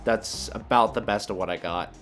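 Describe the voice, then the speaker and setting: Low-voiced man thinking aloud over a game. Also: moderate pace, fairly bright tone, soft background music. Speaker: video creator and card game player. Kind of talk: casual gameplay commentary, thinking aloud.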